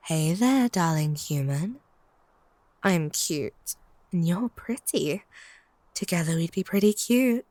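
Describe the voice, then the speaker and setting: A woman's voice: lowish voice, animated, drawn-out words, pauses often, darkish tone, no room sound, heavily acted. A woman playing a haughty, possessive, lovestruck vampire in a comedic audio roleplay, snide and theatrical. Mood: flustered. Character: possessive, lovestruck female vampire, bickering and flustered.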